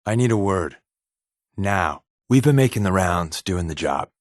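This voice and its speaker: A man's voice: deep voice, lively intonation, a little slow, no room sound, studio quality. A man playing a wisecracking, secretive undercover agent in a video game, with a wry, glib, conversational delivery. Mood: casual, frank. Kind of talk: video game companion dialogue.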